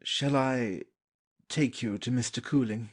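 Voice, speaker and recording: A man playing a shy, courteous young lord who speaks formally and haltingly in an audio stage play. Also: mid-range voice, natural voice.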